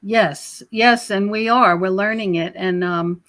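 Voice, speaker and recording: A mature woman with a mid-pitched voice talks as a guest in a conversational interview. She explains at length, pausing and circling back over her phrases. The sound is dry, with very little room in it. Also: fairly bright tone, no echo. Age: middle-aged or older. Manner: rambling, explanatory, with frequent pauses and repeated phrases. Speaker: podcast guest, researcher and author. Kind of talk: conversational spiritual commentary in an interview.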